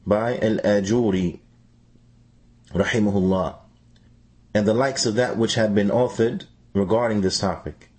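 A man with a lowish, rough-edged voice reads a religious teaching in translation. His delivery is measured, like a lecture. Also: a little mellow. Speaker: translator reading an Islamic scholar's answers.

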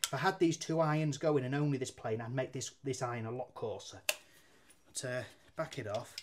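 A man's voice: medium pitch, steady tone, a little fast, noisy background. A man, chatting casually as he demonstrates woodworking at a bench in his workshop, swearing now and then and using local slang. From England. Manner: talks while handling and planing wood at a bench. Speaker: woodworking teacher. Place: indoor workshop with brick walls.

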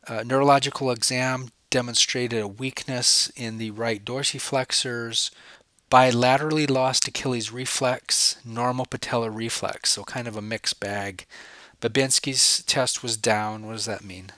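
A man's voice: mid-range voice, fairly even intonation, a little slow, pushed and bright, no echo. A man with a cold, sniffling a little, giving a recorded slide lecture as a professor and putting questions to the listener that he then answers himself. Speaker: professor lecturing on spine cases.

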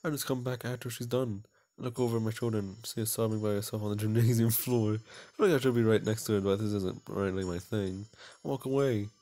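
A man's voice: on the low side, fairly even intonation, quick pace, dark tone. A man hosting a video game playthrough, reading visual novel text and adding casual, self-mocking asides. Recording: music behind the voice. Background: game music.

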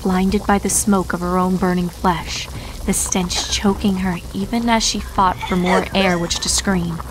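A woman's voice: on the low side, steady tone, unhurried, background noise. A woman reading a horror story aloud as a dramatic scripted narration. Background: music.

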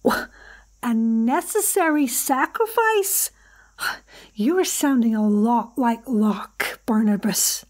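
A woman's voice: a little high, expressive, takes it slowly, a little mellow. A woman playing a tough, tactical member of a rescue team in an audio horror drama. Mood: appalled.

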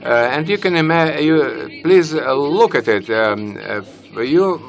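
A young man speaking on a conference panel into a handheld mic, halting with many fillers and restarts. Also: on the high side, expressive, vocal fry. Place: conference stage, large hall. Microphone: handheld microphone.

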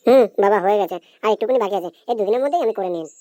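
A woman's voice: medium pitch, rapid-fire, mellow, no room sound, lightly acted. A woman voicing a carefree young schoolboy in an amateur cartoon, with a casual, repetitive way of talking. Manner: casual, repetitive, brushing off advice. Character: carefree procrastinating young schoolboy, little brother.

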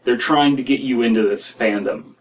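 A man's voice: medium pitch, normal speed. A young American man hosting a podcast with casual, rambling commentary in a room at home. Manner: rambling, peppered with "like" and "you know". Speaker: podcast host commenting on stories. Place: small room at home, indoors. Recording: distant mic with room noise.

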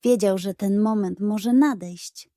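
A woman's voice: medium pitch, slow, mellow, no room sound, clean studio sound. A woman narrating a children's detective story in a scripted, dramatic and suspenseful storytelling style.

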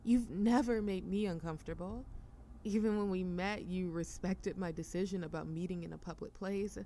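A woman's voice: lowish voice, steady tone, moderate pace, mellow, natural voice. A woman playing a shy, affectionate young girlfriend who overthinks everything, hesitant and stammering in an intimate roleplay audio drama. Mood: tender. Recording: faint ambient noise behind voice.

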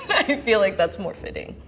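Lively, blunt young woman. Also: medium pitch, a little slow. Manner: blunt, excitable, swears, laughs a lot. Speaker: game guesser. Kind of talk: casual chat.

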